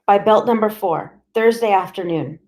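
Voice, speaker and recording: A woman's voice: moderate pace, darkish tone, natural voice. A woman voicing a terrified street boy begging and sobbing through a police interrogation. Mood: pleading. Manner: desperate, shrill, sobbing.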